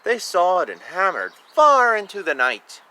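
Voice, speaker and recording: Male storybook reader, bright voice, lively swings in pitch, informal asides, recorded outdoors with faint background noise. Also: measured pace. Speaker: reader of a children's picture book. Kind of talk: read-aloud storytelling with casual asides about the pictures.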